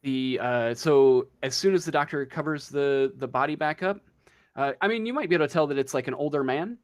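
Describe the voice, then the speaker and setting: A man narrating a tabletop role-playing game as game master, improvising scenes in a halting, hesitant way. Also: a little high. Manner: halting, hesitant, feeling for words.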